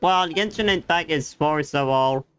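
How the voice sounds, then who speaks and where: Man, gaming streamer, crisp clean voice, casual commentary, halting non-native English phrasing, light game music behind. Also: fairly high pitch, a bit bright, dry recording. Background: faint game music.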